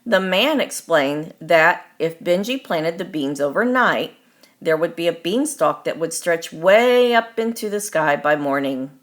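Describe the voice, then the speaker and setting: A woman reading a children's story aloud as its author, in deliberate, expressive scripted narration. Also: deep voice.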